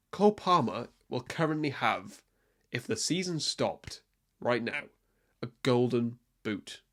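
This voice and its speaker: A young man from Wales, hosting a casual solo sports podcast and talking in an opinionated, rambling way with frequent ums and restarts. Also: medium pitch. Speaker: podcast host.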